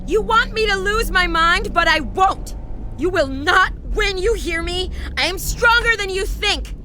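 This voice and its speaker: A woman's voice: very high voice, expressive, unhurried, bright, forceful tone, a little hiss or hum, full character voice. A woman playing a haunted, defiant widow driving alone at night in an audio horror drama, dramatic, brooding and wry. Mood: furious, defiant. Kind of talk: audio horror drama acting with first-person narration.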